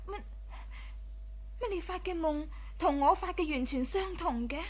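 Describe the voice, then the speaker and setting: An actress playing an anxious, gentle young wife and elder sister in a radio drama, fretful and mildly scolding. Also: female voice, extremely high pitch, a little slow, a little hiss or hum.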